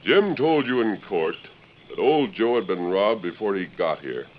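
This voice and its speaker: An actor playing a steady, authoritative masked western lawman in old radio drama, declaiming with assurance on a vintage recording. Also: lowish voice, moderate pace, dull audio, a little hiss or hum, natural voice. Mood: explaining, assured. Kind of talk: 1930s-40s western radio drama acting.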